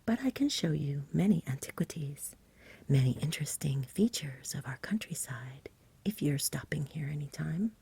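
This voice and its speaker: A woman's voice: sits low, expressive, moderate pace, dark tone, heavily acted. Whispering, fussy storybook dragon, voiced by a woman. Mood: nervous, polite. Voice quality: breathy whisper. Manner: polite, old-fashioned, wordy. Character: nervous, fussy, stubborn but genial stay-at-home dragon.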